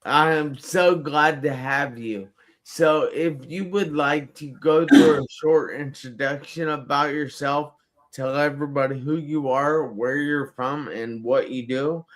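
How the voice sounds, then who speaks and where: A man hosting a live online talk show, speaking slowly and emphatically and repeating words for effect. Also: fairly high pitch, steady tone. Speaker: host. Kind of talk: live-stream show host.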